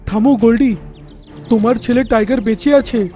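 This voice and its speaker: Man voicing very high, earnest cartoon jungle animal. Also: moderate pace, over loud music. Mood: urgent, earnest. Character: earnest talking jungle animals in a moral fable. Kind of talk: cartoon dialogue acting.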